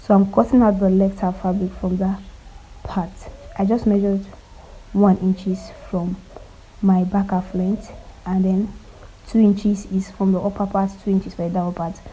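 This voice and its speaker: A woman with a cold walking viewers through cutting and sewing a top, speaking quietly and stopping often to check they are following. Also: flat delivery, a little slow, a little hiss or hum, low-quality recording. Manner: quiet, low-volume delivery. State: has a cold. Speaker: sewing tutor. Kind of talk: casual step-by-step sewing tutorial.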